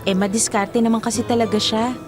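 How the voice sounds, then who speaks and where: A woman's voice: a little high, steady tone, brisk, close to an everyday voice. Actress as an encouraging young woman, earnest and loyal. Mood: modest, fond. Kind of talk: radio drama acting. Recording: faint music behind.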